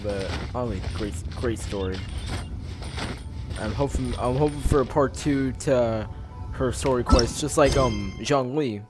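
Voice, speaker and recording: A man's voice: mid-range voice, unhurried. A man giving casual, rambling reaction commentary as he streams a game, often repeating himself. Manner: repetitive, rambling. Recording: loud game music behind stream voice.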